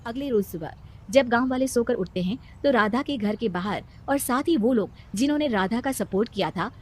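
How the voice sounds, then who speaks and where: A woman's voice: on the high side, expressive, brisk. A woman narrating a scripted story as the storyteller.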